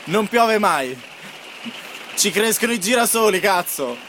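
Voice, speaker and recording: A man with a notably high voice delivers an informal promo spot. The recording is clearly noisy, with ambient sound around him. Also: lively intonation, unhurried, a bit bright.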